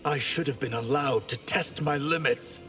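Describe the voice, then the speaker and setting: A man's voice: medium pitch, normal speed, soft background music, close to an everyday voice. A man playing a proud warrior in a fantasy video game, speaking earnestly and formally. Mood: grave, resolute. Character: proud male warrior addressing his ruler. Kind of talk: video game dramatic acting. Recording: long reverb, loud noise and clicks.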